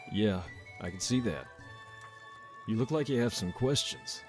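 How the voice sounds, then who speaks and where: Very deep-voiced, calm tavern bartender acted by a man. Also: slow pace, music in the background. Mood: easygoing, amused. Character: seasoned male bartender, ex-royal guard, calm, avuncular. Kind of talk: amateur fantasy audio roleplay acting.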